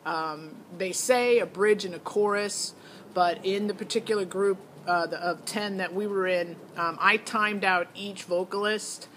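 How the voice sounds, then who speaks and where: A woman's voice: medium pitch, steady tone, a little slow, fairly bright tone, slight background noise, rough recording. A middle-aged American woman giving a casual, opinionated, unscripted monologue that rambles and digresses, as a parent vlogger.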